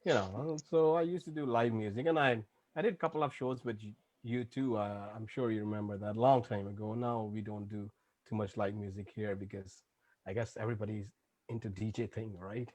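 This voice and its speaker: A man from Pakistan, a music producer, chatting over a video call in a relaxed, rambling way with many fillers. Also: fairly low pitch, brisk, no echo. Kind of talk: casual chat. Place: Toronto.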